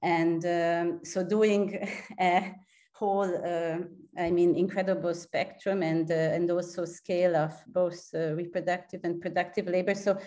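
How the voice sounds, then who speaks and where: A woman's voice: fairly low pitch, level tone, a little slow, dark tone. A woman from Hungary, asking a long, searching question on a video call, with many restarts and fillers. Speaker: audience member asking a question. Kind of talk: online seminar question.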